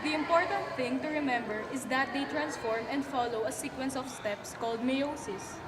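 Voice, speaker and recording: A woman's voice: high-pitched, moderate pace, close to an everyday voice. A woman playing a friendly, patient doctor in an amateur puppet show, explaining things to children. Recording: very noisy amateur room recording.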